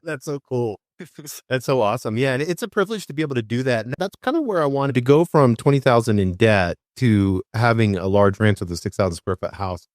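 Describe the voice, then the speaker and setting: A man's voice: medium pitch, animated, a little fast, no room sound, clean studio sound. Enthusiastic male podcast host. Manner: enthusiastic, hype-style intro. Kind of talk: podcast interview.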